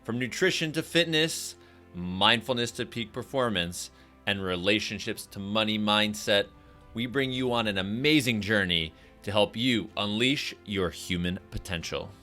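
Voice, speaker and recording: A man hosts an interview podcast. His voice sits on the low side but has a sharp, forceful brightness. Light music plays faintly behind him. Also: unhurried. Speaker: podcast host. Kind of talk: podcast intro and interview hosting.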